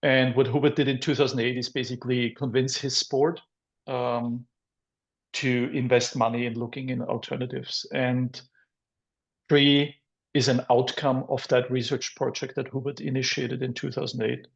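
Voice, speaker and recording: A middle-aged man from Austria speaks in a flat, even voice. He talks in a measured way, pausing often. His audio sounds dull and muffled. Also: mid-range voice, gravelly. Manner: measured, many "um" pauses. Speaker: guest, business development director. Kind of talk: interview answers.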